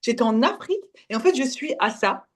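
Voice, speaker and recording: Young woman from Belgium, halting speech. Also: on the high side, lively intonation. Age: 20s. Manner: halting, self-correcting, slips in English words. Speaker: guest, women's spiritual development guide. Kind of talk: casual personal testimony in conversation.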